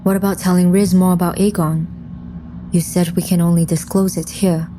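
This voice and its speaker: A woman's voice: on the low side, flat delivery, normal speed, mellow, slight background noise, natural voice. A woman playing a composed, serious undead mission leader who narrates her own story in an audio drama. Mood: grave, composed. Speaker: lead character and first-person narrator. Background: music.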